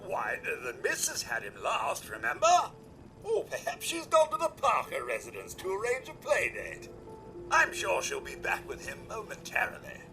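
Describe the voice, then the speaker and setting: A man's voice: sits high, wildly swooping pitch, moderate pace, creaky, bright, forceful tone, faint music behind, full character voice, poor audio. A male actor playing a formal, fretful robot butler in a video game, with a theatrical British accent. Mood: flustered, polite. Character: fussy, devoted, emotional robot butler. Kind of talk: video game character acting.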